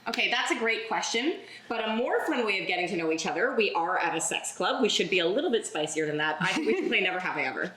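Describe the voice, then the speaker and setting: A woman's voice: mid-range voice, sing-song, fast, few pauses, heavy background noise. A woman who works as a sex educator and relationship coach, chatting casually and playfully as she leads a party game and explains her work. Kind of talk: casual chat. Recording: echoey room.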